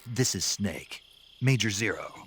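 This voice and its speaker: A man playing a tough, intense special-forces soldier with a gruff voice in a video game cutscene. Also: on the low side, expressive, a little slow. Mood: urgent, bitter. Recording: clear background noise, outdoor night insects.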